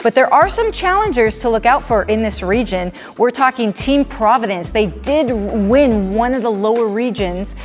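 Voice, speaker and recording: Female sports commentator, slightly high voice, upbeat preview read, light music under her. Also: animated, normal speed, few pauses. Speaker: basketball commentator.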